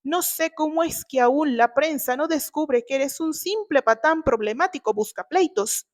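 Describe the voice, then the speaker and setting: Woman acting brash, spiteful young man, high-pitched. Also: unhurried. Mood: hostile accusing. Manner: accusing, stammering when cornered. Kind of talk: dramatized audiobook reading.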